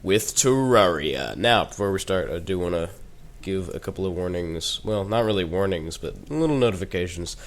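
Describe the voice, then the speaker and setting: A man's voice: sits low, expressive, moderate pace. Teen boy gamer with a head cold. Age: teens. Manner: chatty and rambling, with joking asides. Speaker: gaming YouTuber. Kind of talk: casual gameplay commentary.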